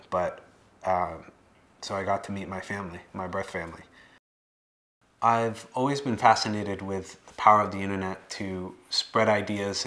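An American man in his late twenties giving personal testimony to camera in a plain-spoken, measured way, with some hesitant phrasing. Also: low voice, a bit bright. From Boston area, United States. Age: late 20s. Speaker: documentary subject telling his life story. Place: living room, indoors.

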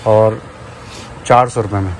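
A man's voice: lowish voice, fairly even intonation, unhurried, slight background noise. A man filming a casual vlog in a crowded shop, chatting with the seller and repeating his answers to the viewers. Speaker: vlogger. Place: crowded cooker shop, indoors.

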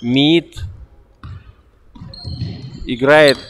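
A young man giving quick, excitable play-by-play commentary on an amateur basketball game, repeating a catchphrase. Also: medium pitch. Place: indoor basketball gym.

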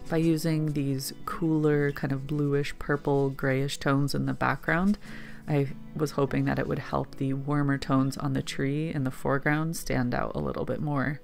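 Woman, painter, voice-over narration, flat delivery, gravelly vocal fry. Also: extremely low pitch, unhurried, soft background music. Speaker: landscape painter and video maker.

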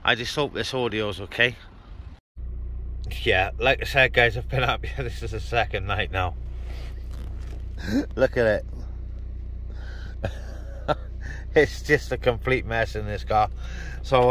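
Bright-voiced man chatting in a car. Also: mid-range voice, a little hiss or hum. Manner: informal, addressing viewers as guys. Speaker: vlogger and radio operator. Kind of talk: casual vlog chat and radio talk. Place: inside a parked car.

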